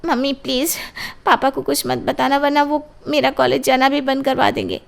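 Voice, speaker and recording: A woman's voice: sits high, big pitch swings, normal speed, creaky, pitched above the performer's own voice, exaggerated voice. A woman voicing an anxious college-age daughter in an audio drama, pleading and defending herself.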